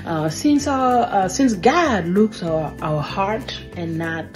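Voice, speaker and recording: A woman's voice: medium pitch, lively intonation, slow, music in the background. A woman giving an earnest kids' lesson, filmed on a phone at arm's length indoors. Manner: earnest, with frequent fillers. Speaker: kids ministry teacher. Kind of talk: earnest teaching talk.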